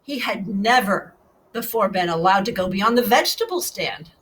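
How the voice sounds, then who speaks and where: A woman's voice: mid-range voice, expressive, moderate pace, fairly bright tone, slight background noise. A woman narrating a children's storybook expressively, with asides to her young listeners. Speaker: storybook reader.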